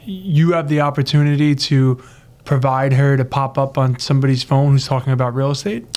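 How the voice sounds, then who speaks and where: A man's voice: mid-range voice, steady tone, brisk, a little mellow. A man chatting casually as a radio guest, agreeable and often repeating short affirmations. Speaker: radio guest, mortgage loan officer. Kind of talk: casual chat.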